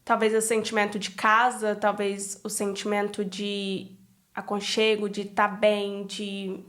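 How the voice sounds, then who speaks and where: A woman's voice: mid-range voice, completely flat delivery, unhurried, a bit bright. A woman, a podcast host asking questions in a hesitant way, searching for words. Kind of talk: interview questions.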